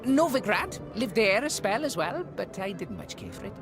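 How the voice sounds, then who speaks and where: A man playing an eager, chatty, well-travelled eccentric in a fantasy video game, rambling excitably. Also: high-pitched, normal speed, music in the background. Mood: dreamy, rambling. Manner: excitable, rambling, swooping delivery.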